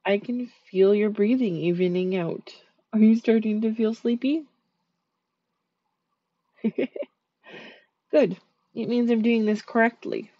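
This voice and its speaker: Sweet, shy dream eater spirit, a woman voicing her, soft soothing ASMR delivery, dry close mic. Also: medium pitch, steady tone, slow pace, long pauses, no echo, lo-fi sound. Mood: gentle, soothing. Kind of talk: ASMR sleep-aid roleplay.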